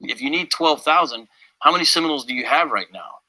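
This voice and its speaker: A man's voice: fairly high pitch, fast, sharp tone, low-quality recording. A man giving critical commentary in a video clip, in a skeptical, dry way.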